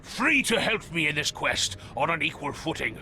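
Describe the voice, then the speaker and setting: An actor playing a haughty, zealous male cultist in a video game, speaking formally and theatrically, often sinking into a breathy murmur. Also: fairly high pitch, big pitch swings, moderate pace, pushed and bright, a little hiss or hum, exaggerated voice. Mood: fervent. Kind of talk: dramatic video game cutscene acting.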